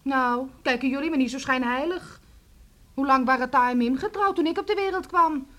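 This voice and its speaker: An actress in a Dutch radio play playing a young pregnant village daughter, defiant and distraught, with a blunt, emotional delivery. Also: female voice, high-pitched, moderate pace, a bit bright. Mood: defiant, sullen. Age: young adult. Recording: noisy old radio play recording.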